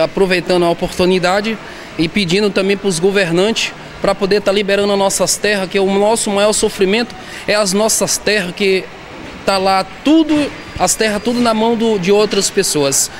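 A high-voiced man answers a reporter, stopping and restarting his words as he goes. He speaks into a handheld microphone inside a shopping mall. Loud background noise runs under his voice the whole time. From southern Bahia, Brazil. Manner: halting, repeats and restarts words. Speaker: artisan interviewee. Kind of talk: street interview. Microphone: handheld reporter mic.

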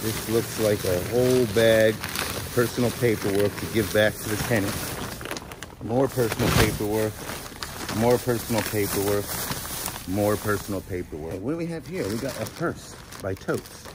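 A man's voice: fairly low pitch, moderate pace. A man in his forties chatting casually as a vlog host, informal and slangy, commenting as he sorts through things. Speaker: host of a storage auction vlog. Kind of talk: casual vlog chat and commentary while sorting finds. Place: outdoors at a storage unit lot, partly in a vehicle. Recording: noisy outdoor audio with vehicle sounds.

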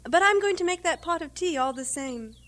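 An actress playing a brisk, motherly English missionary schoolmistress in an audio drama, matter-of-fact and homely. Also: extremely high pitch, lively intonation, a bit bright. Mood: brisk, friendly.

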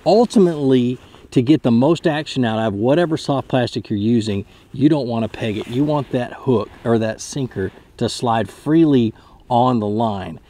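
A man's voice: mid-range voice, normal speed, mellow. A man in his thirties explaining a fishing technique to camera from a kayak on a lake, in a chatty, explanatory way with frequent restarts. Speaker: fishing channel host. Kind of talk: casual outdoor tutorial to camera. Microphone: camera mounted close in front.

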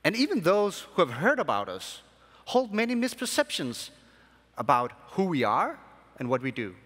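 Young man giving a lively stage keynote. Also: on the high side, breathy edge. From born in Sri Lanka, raised in Denmark. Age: young adult. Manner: energetic, measured phrasing with emphatic pauses. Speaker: conference speaker. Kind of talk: keynote speech to a convention audience. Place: large convention hall stage. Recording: long hall reverb.

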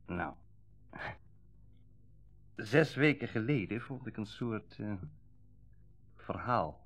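Low-voiced, musing middle-aged car dealer. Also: male voice, animated, takes it slowly, exaggerated voice. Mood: confiding. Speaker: actor in a radio drama. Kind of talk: Dutch radio play acting, naturalistic dialogue.

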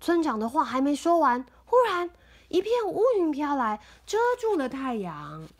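A woman reading a picture book aloud to her child at home, gentle and sing-song, pausing to explain. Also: high-pitched, sing-song. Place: home, indoors.

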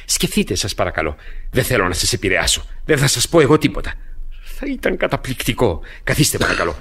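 An actor playing an anxious, desperate man who keeps pleading, with a husky voice, in an old radio play. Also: medium pitch, wildly swooping pitch, a bit bright, full character voice. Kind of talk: Greek radio theatre acting.

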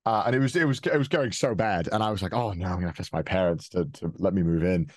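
Deep-voiced Welsh young man. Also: fast talker, no echo, studio quality. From Wales. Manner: rambling, frequent restarts and fillers, chuckles often. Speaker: interview guest. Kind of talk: casual interview.

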